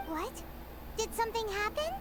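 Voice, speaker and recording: A woman voicing a small, childlike, excitable fairy companion with a squeaky voice in an anime video game. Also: moderate pace. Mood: worried. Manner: refers to self in third person, eager.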